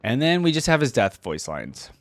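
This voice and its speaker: A man's voice: medium pitch, huge pitch swings, moderate pace, no echo. A man hosting a reaction video, talking casually and thinking aloud in a loose, informal, rambling way. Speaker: YouTube reaction host. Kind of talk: casual fan reaction commentary to camera.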